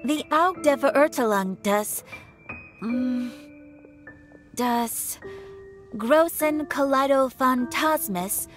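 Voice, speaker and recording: A composed, courteous receptionist from a video game. Her voice is fairly high and her delivery formal. There is faint music behind her. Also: takes it slowly, pauses often. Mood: grandly reciting. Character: polite, composed female guild receptionist passing on messages.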